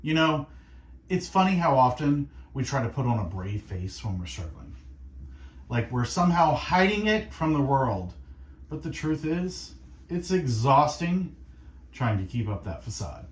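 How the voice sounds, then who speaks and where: A man hosting faith-based radio, speaking in an earnest, reflective monologue of encouragement with short, deliberate phrases. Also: mid-range voice, very animated, echoey room. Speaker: radio host. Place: radio studio.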